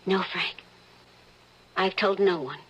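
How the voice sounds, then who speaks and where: An actress playing the anxious, suspicious middle-aged wife of a judge, halting and emotional in old-time radio drama style. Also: female voice, fairly low pitch, husky, highs cut off. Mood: tense, subdued.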